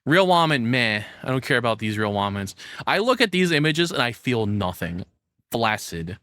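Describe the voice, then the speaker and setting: A man's voice: medium pitch, very animated, moderate pace, bright, forceful tone, dry recording, clean studio sound. A man streaming casual live reaction commentary, rambling and joking crudely as he goes.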